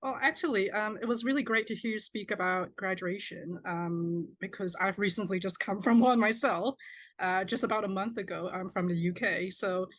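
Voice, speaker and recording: A woman's voice: mid-range voice, moderate pace. Chatty young woman from Malaysia. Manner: chatty and friendly, with uh and um fillers. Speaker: podcast guest. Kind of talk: casual interview.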